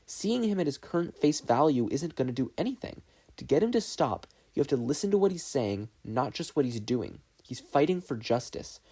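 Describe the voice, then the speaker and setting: A man's voice: medium pitch, big pitch swings, moderate pace, mellow, breathy edge. A man voicing an earnest, idealistic teenage boy student in an audiobook, arguing emphatically. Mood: impassioned, persuasive. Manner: emphatic, argumentative.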